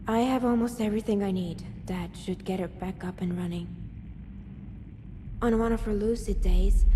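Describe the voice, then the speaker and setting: A woman playing a guarded, wary young scavenger in a video game, speaking in a measured, dry way. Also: mid-range voice, mellow, natural voice. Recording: long reverb, clear background noise.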